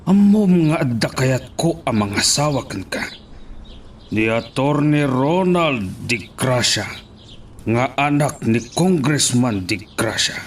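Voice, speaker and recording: Man acting a slow-talking, domineering father. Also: mid-range voice, sing-song, slight background noise. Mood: stern, displeased. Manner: stern, commanding. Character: domineering, scheming middle-aged mayor and father. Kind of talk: audio drama acting.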